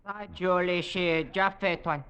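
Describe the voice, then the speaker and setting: A man's voice: high-pitched, steady tone, a little slow, a bit bright, a little hiss or hum. An actor playing a deferential, obliging male servant with clipped speech in a 1940s radio drama, on a muffled old recording.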